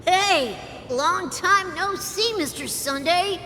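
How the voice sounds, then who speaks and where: A woman's voice: lively intonation, slow, bright, forceful tone, heavily acted. A woman voicing a flippant, breezy young woman in a video game, irreverent and teasing. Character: cheeky, flippant young woman mocking a solemn confession. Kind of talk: playful game voice acting. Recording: long hall reverb, faint rumbling noise.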